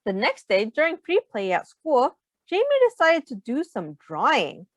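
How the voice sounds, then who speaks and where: A woman reading aloud in an instructive, deliberate way with expressive emphasis. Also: fairly high pitch, no room sound, clean studio sound. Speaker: teacher reading a picture book aloud. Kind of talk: read-aloud narration.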